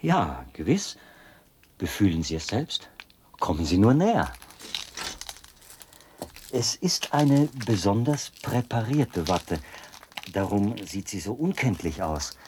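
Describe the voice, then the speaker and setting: An actor playing a zealous young penal colony officer and judge in a German radio drama, explaining in precise, formal standard German with a husky voice. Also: male voice, lowish voice, big pitch swings, slow pace, pauses often, a little mellow, a little hiss or hum. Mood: matter-of-fact, instructive.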